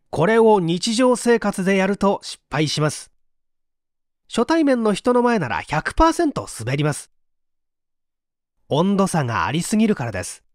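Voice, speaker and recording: A man with a high-pitched voice reads a nonfiction audiobook in a polite, explanatory manner. His intonation rises and falls in a lively way. The sound is dry and close, with no room echo. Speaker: audiobook narrator. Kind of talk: scripted audiobook reading.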